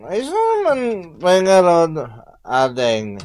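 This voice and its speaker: A male actor playing a leering, coaxing village man with drawn-out, chuckling delivery and an Ilocano accent in a radio comedy drama. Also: high voice, wildly swooping pitch, a little mellow, slight background noise, full character voice. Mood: flirtatious. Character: leering, flattering older-brother village man.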